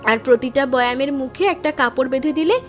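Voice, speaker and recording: A woman playing a sweet, sentimental young village niece in an animated story, earnest and girlish. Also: high voice, brisk, faint music behind, lightly acted. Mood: eager, helpful.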